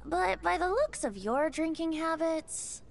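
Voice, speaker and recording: A woman's voice: extremely high pitch, expressive, unhurried, vocal fry, full character voice. A woman voicing a playful, kindly young male bard in a video game, light and teasing. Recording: game dialogue with background music.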